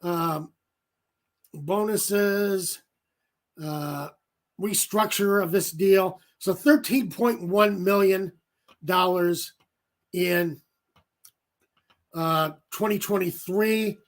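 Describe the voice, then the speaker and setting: A man hosting a sports talk show on his own, giving rambling commentary full of fillers and self-corrections, his voice going raspy from talking for an hour. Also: high-pitched, extremely slow, long pauses. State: voice getting raspy. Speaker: solo sports show host.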